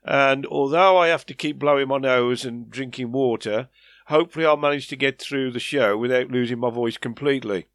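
Brief: male radio presenter, mid pitch, stuffed-up nasal voice, rambling and keen. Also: a little fast, a bit bright, no room sound, clean studio sound. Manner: chatty, enthusiastic, rambling asides. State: nasal from a head cold. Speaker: radio show host. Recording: faint music behind.

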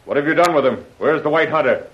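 A man's voice: a little high, fast, natural voice. An actor playing a ruthless male villain, impatient and threatening, in a dull old radio recording. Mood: scornful. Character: ruthless male villain who commands the hunters. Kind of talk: 1930s radio drama acting.

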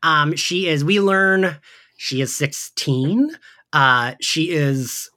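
A man's voice: fairly high pitch, slow pace, pushed and bright. A man hosting a podcast in a casual, gossipy, fannish chat style, often saying "like". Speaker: podcast host. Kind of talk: casual chat recapping an audio drama.

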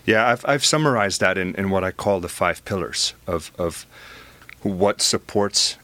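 A middle-aged man from Belgium with a Belgian accent, a guest in a conversational interview, animated and often repeating words as he starts sentences. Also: deep voice, unhurried.

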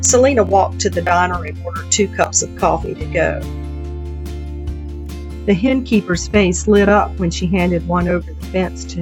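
A woman writer reading her own literary short story as a narrator. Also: lowish voice. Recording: dull, dry recording with music bed.

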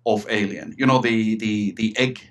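A man's voice: lowish voice, fairly even intonation, moderate pace, slight background noise. A middle-aged man from Finland with a Finnish accent, talking eagerly as an interview guest and often repeating words as he restarts. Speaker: guest, writer and filmmaker. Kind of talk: casual interview chat.

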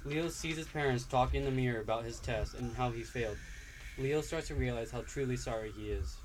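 A man narrating a story aloud in a steady, plain storytelling manner. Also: medium pitch, fairly quick, a little hiss or hum, rough recording.

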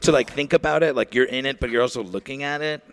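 A man playing a sinister, gun-toting fake doctor in an improvised radio comedy, speaking casually and evasively with quiet menace. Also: medium pitch, fast talker, smooth, close to an everyday voice. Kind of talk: improvised parody of a radio play.

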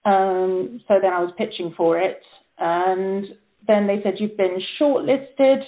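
A woman opera singer from England and Austria, telling stories in a lively, laughing, chatty way as a guest on a talk show. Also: medium pitch, slow pace. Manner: chatty, laughing, animated storyteller. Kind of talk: casual chat. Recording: tinny phone line.

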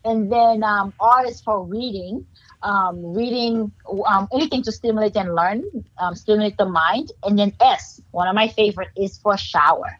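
A woman's voice: medium pitch, moderate pace, lo-fi sound. A woman with a Haitian accent, a guest in a casual interview, explaining in an upbeat, motivational way.

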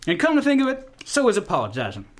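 Man playing a high-pitched blustering army general. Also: wildly swooping pitch, fast talker. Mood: fatherly bluster. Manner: commanding, folksy bluster. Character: blustering male army general, fatherly to his boys. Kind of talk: comic radio play acting.

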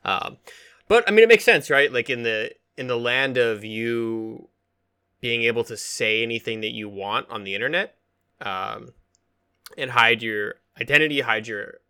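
A man's voice: medium pitch, unhurried, pushed and bright, clear, resonant tone. A man co-hosting a podcast, chatting in a casual, joking and rambling way with some swearing. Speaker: podcast co-host. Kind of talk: casual comedic chat.

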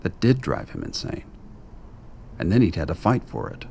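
A man's voice: low-pitched, huge pitch swings, moderate pace, vocal fry, mellow, a little hiss or hum. A man reading scripted literary narration as the narrator of a radio play.

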